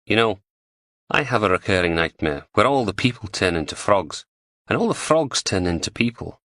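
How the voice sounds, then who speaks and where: Deadpan male psychologist, flat Liverpool accent, measured delivery, as a man puts him on. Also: on the low side, no echo, clean studio sound.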